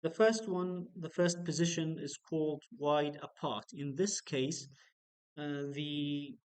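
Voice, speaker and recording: Slow hesitant guy lecturing, lots of uhs, clean smooth voice. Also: fairly high pitch, darkish tone. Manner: hesitant, many fillers and restarts. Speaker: university lecturer. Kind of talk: online lecture.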